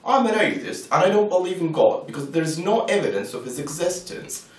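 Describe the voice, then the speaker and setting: A man's voice: sits high, lively intonation, fairly quick, far from the mic. A man playing a brash, scornful atheist in a scripted debate, challenging and impatient. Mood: dismissive. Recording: roomy echo, off-mic.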